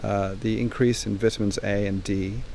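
A man in his forties, an estate owner, explaining things calmly in an outdoor interview by a pasture, with a lapel mic. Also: fairly low pitch, moderate pace, gravelly, slight background noise.

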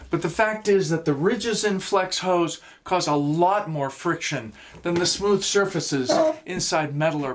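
Steady man explaining in a workshop. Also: fairly high pitch. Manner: steady, explanatory, gestures while talking. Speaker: woodworking instructor. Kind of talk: scripted instructional explanation. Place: small home workshop, indoors.

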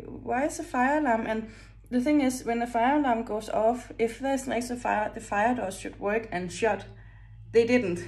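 A woman's voice: a little high, darkish tone. A young woman in her twenties with a Danish accent, chatting casually in a vlog, often laughing and hunting for English words. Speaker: vlogger, gym worker. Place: kitchen at home, indoors. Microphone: phone at arm's length.

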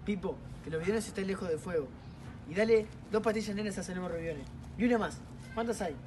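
A man's voice: sits high, brisk, lightly acted. A man with an Argentine accent playing a bossy leader of hidden soldiers, giving strict orders in stage play dialogue. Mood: commanding. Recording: clear background noise.

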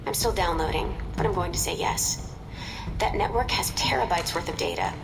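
An actress playing a composed, wry young intelligence handler in a video game, efficient and matter-of-fact. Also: female voice, on the low side, lively intonation, normal speed, gravelly. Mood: focused, upbeat. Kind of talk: video game cutscene acting. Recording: distant, reverberant, music underneath.

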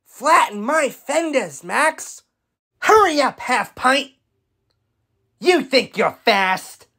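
A woman's voice: high-pitched, very animated, takes it slowly, gravelly, a bit bright, heavily acted. A woman voicing a brash, competitive, impatient dump truck in a children's cartoon, pushy and taunting. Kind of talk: animated children's show character acting.

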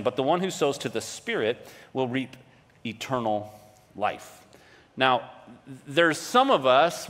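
Pastor preaching in a reverberant hall. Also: male voice, a little high, a bit bright. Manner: conversational, explanatory, checking in with rhetorical questions. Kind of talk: sermon, teaching from scripture in a conversational way. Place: large indoor hall, speaking to a congregation. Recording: long reverb of a large hall.

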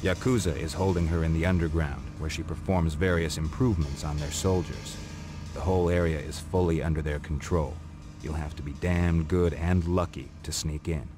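A man playing a gruff, streetwise getaway driver and handler in a video game, talking in a terse, informal, worldly way. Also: extremely low pitch, fairly even intonation, moderate pace. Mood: low-key briefing. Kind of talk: video game cutscene acting. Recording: loud music behind the dialogue.

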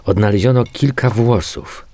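An actor playing a matter-of-fact, weary male police investigator in a radio drama, muttering and repeating himself haltingly. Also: lowish voice, mellow, lightly acted. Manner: halting, muttering repetitions while reading out reports. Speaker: actor in an audio crime drama. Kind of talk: naturalistic radio drama dialogue.

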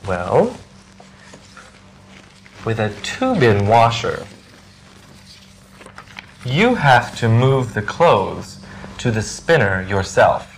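A young man speaks in a slow, carefully paced scripted dialogue for English learners. His voice moves up and down a lot, and the studio recording carries a faint background hiss. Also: mid-range voice, very animated, poor audio. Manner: clear, deliberate delivery. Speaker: actor in English lesson dialogues.

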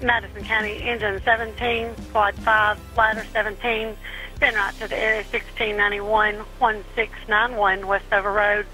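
A woman's voice: medium pitch, flat delivery, moderate pace, over music, poor audio. A middle-aged woman making clipped, procedural radio dispatch calls. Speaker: fire dispatcher.

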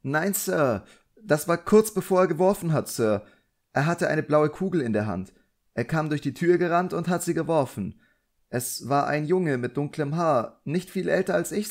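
A man's voice: a little high, animated, normal speed, pitched above the performer's own voice. A man voicing a nervous, eager young apprentice boy in an audiobook, earnest and deferential. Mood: anxious, eager. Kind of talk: audiobook character acting.

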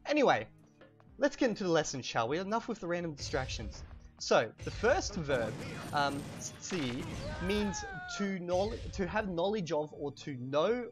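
Chatty Australian man teaching over gameplay. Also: fairly high pitch. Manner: chatty, animated, drawn-out slow spelling of words. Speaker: language teacher and gamer. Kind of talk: casual lesson over gameplay. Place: home room, webcam. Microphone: cheap desk microphone.

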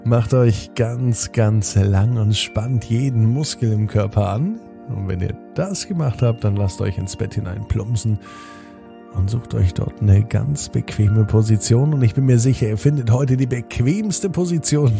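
A man hosting a children's bedtime podcast, narrating a story in a soft, slow, soothing way and speaking directly to the children. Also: medium pitch.

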